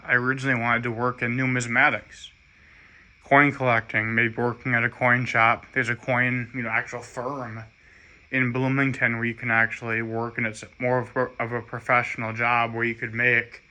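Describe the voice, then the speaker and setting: An American man giving a rambling, halting personal monologue in an earnest, aggrieved manner. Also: medium pitch, level tone, pushed and bright.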